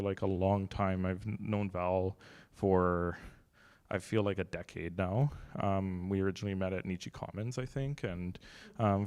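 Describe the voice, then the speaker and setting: Halting young man with a deep voice. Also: darkish tone, clear, resonant tone. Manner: halting, many fillers and restarts, light joking. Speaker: gallery artistic director. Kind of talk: casual welcoming remarks. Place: gallery room, seated at a long table. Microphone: handheld microphone.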